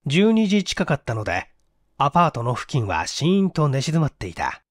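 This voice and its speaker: A man's voice: a little high, big pitch swings, normal speed, no room sound, clean studio sound. A man narrating a mystery story as a storyteller, in a scripted dramatic reading.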